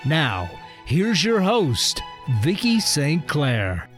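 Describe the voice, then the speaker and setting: A man reading a scripted radio show introduction in a measured announcer delivery. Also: fairly high pitch, wildly swooping pitch, fairly bright tone. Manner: slow, lilting announcer delivery. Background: music.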